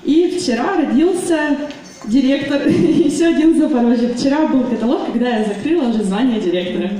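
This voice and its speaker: A woman's voice: high voice, lively intonation, brisk, noisy background. A woman in her twenties giving a public speech at a business meeting, hesitant, with many fillers and restarts. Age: 20s. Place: meeting hall. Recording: echoey hall from far off.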